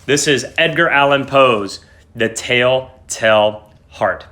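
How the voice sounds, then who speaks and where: Young man teaching, bright forceful voice. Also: medium pitch, slow pace. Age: young adult. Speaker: English teacher. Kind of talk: informal lesson to camera. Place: small wood-panelled room at home, indoors. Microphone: phone or laptop at arm's length.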